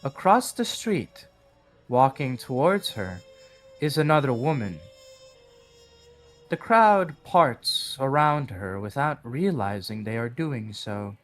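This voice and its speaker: A man reading scripted narration for a horror audio drama in a measured, dramatic storytelling manner. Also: mid-range voice, sing-song. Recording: background music under the voice. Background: music.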